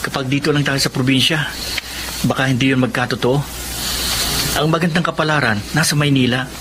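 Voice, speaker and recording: An actor playing a cheeky, eager young student from the provinces who dreams big, in Filipino radio drama style. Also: male voice, mid-range voice, fairly even intonation, a little fast, natural voice. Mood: earnest, hopeful. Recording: noisy radio drama with ambient effects.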